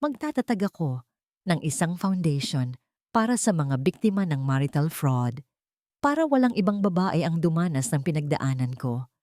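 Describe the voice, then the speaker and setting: A woman's voice: low-pitched, sing-song, normal speed, darkish tone, no room sound, studio quality. A woman narrator voicing a wounded, soul-searching woman in a story, earnest and confiding. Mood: resolved, tender.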